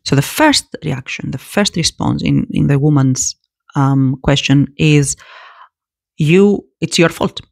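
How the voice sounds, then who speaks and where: A woman giving casual spoken commentary to her audience, animated and prone to repeating words and restarting phrases. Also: deep voice, takes it slowly, gravelly, no echo, clean studio sound.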